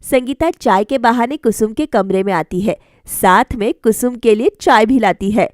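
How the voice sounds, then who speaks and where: A woman's voice: fairly high pitch, normal speed, dry recording, clean studio sound. A woman narrating a scripted children's story as a voice-over storyteller. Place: close, dry voice-over recording.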